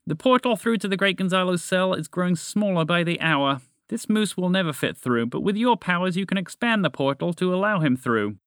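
A man's voice: high voice, expressive, fairly quick, hardly any pauses, fairly bright tone, smooth, no room sound, studio quality, pitched above the performer's own voice. A man voicing a male talking toucan in a storybook, formal, earnest and emphatic, reverent one way and sarcastic the other. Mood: reverent, urgent.

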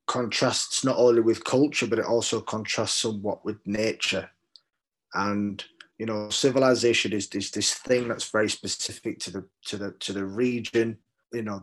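A man from London with a British accent, speaking as a poet in a reflective interview, thoughtful and hesitant, often repeating words as he searches for the right one. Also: lowish voice. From London, England.

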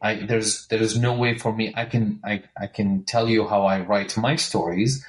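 A man's voice: on the low side, fairly even intonation, moderate pace. A man in his forties, an author and writing teacher, explaining earnestly and agreeably in a casual interview. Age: 40s. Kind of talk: casual conversation. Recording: roomy echo.

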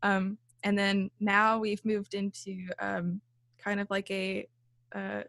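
A young woman with a small, light voice talks about her band in a relaxed interview. She rambles with fillers and laughs often. The sound is dry and close. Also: medium pitch, slow pace, creaky, dry recording. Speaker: band leader and songwriter. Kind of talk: casual interview chat.

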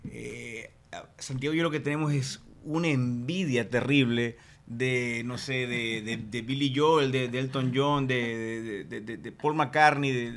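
A Venezuelan man, a songwriter guest, talking casually and haltingly, with many restarts. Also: mid-range voice. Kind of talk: casual interview chat.

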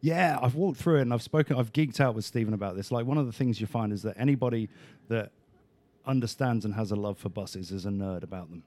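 An English man with a British accent, now and then lifting the end of a line in an Australian way. His voice sits a little low, and he talks loosely and easily with plenty of "um"s. It sounds like a relaxed podcast chat. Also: fast. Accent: British, with some Australian turns of phrase. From England. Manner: relaxed and chatty, many fillers, sometimes a rising inflection. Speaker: guest. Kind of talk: casual interview chat.

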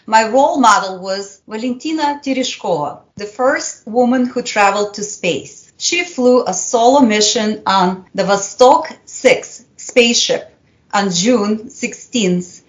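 Russian-accented woman telling a measured story. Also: on the high side, highs cut off. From Soviet Union. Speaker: university lecturer. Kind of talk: prepared personal story for a podcast.

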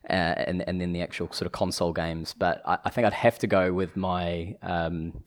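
A man in his thirties with a New Zealand accent, chatting as a podcast guest, often restarting and pausing with fillers. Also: low-pitched, flat delivery. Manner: hesitant, many restarts and fillers. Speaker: podcast guest, chief commercial officer. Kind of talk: casual podcast conversation.

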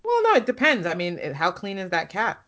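A woman's voice: medium pitch, wildly swooping pitch, fairly quick, fairly bright tone. A woman co-hosting a podcast in casual chat, talking in a chatty, hedging way. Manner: chatty, hedging, laughs easily. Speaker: podcast co-host. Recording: slightly dull lo-fi sound.

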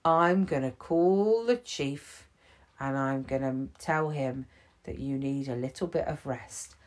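A woman voicing a kindly, gentle male story character who speaks in a soft, soothing way. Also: a bass voice, slow.

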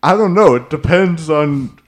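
A man's voice: fairly high pitch, expressive, measured pace. Melvis, a man doing a drawling Elvis impersonator. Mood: boastful. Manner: drawling, calls everyone mama, rambling with many fillers. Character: goofy male Elvis impersonator, forgetful, boastful. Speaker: podcast co-host in character. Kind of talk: improvised comedy podcast bit.

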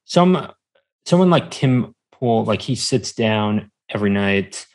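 A man as a podcast guest, talking casually and haltingly as he searches for words. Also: on the low side, expressive, darkish tone. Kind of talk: casual conversational interview.

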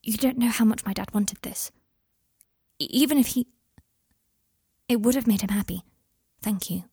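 A woman voicing an earnest, polite young wizard boy in an audiobook, breathy and eager. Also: a little high, moderate pace, a little mellow, no echo, clean studio sound. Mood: heartfelt. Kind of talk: audiobook character voice.

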